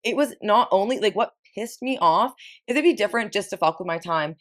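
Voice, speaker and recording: A young woman in her twenties from Ontario speaks as a podcast guest, in a mid-pitched voice. Her talk is casual and frank, peppered with swearing and quick self-corrections. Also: expressive. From Ontario, Canada. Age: 20s. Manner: frank, profane, with frequent restarts. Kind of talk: casual chat.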